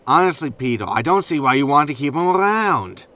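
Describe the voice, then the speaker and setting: An actor playing a grumpy, indignant disgraced spaceship captain in a sci-fi comedy audio drama, peevish and sarcastic. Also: male voice, on the high side, animated, a little fast, sharp tone. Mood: irritated.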